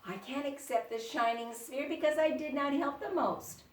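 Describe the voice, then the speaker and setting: A woman's voice: high-pitched, moderate pace, a little hiss or hum, close to an everyday voice. A woman voicing brave, eager young sons in a children's folktale, in an emphatic storybook manner. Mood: modest, refusing. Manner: bold, emphatic calling out. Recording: roomy echo, distant microphone.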